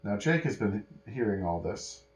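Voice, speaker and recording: A man with a fairly low voice tells a bedtime story in a room with clear echo. He narrates in an improvised way, pausing and correcting himself as he builds the scene. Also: lively intonation, a crowd in the background. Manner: pauses and self-corrections while telling. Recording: echoey room.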